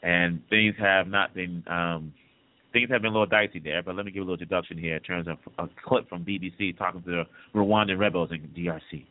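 A man from the Congo hosting a casual news commentary show, talking quickly and conversationally, often repeating himself as he thinks. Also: low-pitched, steady tone, no room sound. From Democratic Republic of the Congo. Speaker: podcast news host. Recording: tinny phone-like line.

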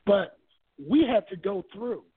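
A high-pitched man from Barbados speaks over a thin, tinny phone line. He talks as a guest in a relaxed, searching way, often repeating a word before the thought comes. He leans on homely school and family examples. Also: measured pace, breathy edge. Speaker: guest, author and marriage minister. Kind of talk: telling his life story on a call-in radio show.

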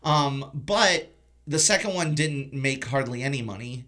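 A man's voice: mid-range voice, animated, unhurried, bright, forceful tone. A man hosting a casual film discussion, talking in an informal, blunt way. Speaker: podcast host.

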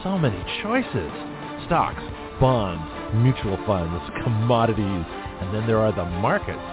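Male announcer, low lively voice, dramatic promo read, loud music bed, phone-like sound. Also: unhurried. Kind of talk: scripted segment intro.

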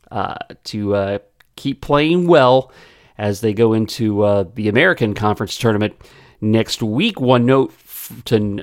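A man hosting a sports podcast, his voice a little raspy, chatting with frequent pauses and fillers. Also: fairly low pitch, no echo, studio quality. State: slightly raspy voice.

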